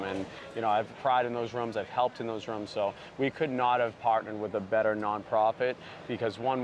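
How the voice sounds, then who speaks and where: A man's voice: fairly low pitch, background noise. A man who founded a barber brand, talking earnestly in a sit-down interview and leaning on lots of "you know" fillers. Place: outdoors at a busy trade convention.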